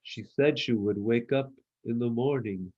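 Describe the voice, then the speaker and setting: A lonely moon elephant from a children's tale, performed by a man. The speech is slow, sad and wistful, and the recording is slightly muffled. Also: medium pitch, lightly acted.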